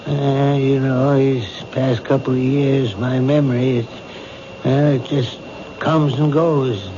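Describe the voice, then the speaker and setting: An actor playing a weary, grieving widower who gropes hesitantly for words in a radio drama. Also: male voice, medium pitch, little pitch movement, slow pace, natural voice. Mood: vague, groping. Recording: muffled, noisy old radio recording.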